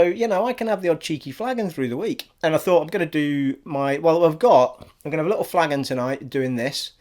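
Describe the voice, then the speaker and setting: A man from Scotland with a generic English accent, rambling cheerfully through a casual gaming vlog from his living room. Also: medium pitch. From Scottish, raised abroad in army schools. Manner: chatty and rambling, says "people" a lot. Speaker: gaming vlogger. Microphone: studio USB microphone on an arm.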